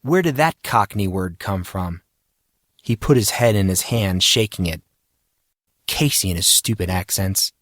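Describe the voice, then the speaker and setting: A man's voice: low voice, animated, a little slow, fairly bright tone, no echo, studio quality. A man reading scripted, dramatic fiction as an audiobook narrator.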